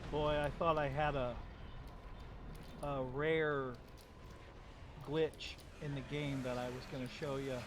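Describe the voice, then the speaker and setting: A man's voice: a little high, little pitch movement, slow, long pauses. A man in casual conversation with fellow gamers on a group voice chat. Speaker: gamer on a group gaming podcast. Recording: noisy voice-chat with clear background hum.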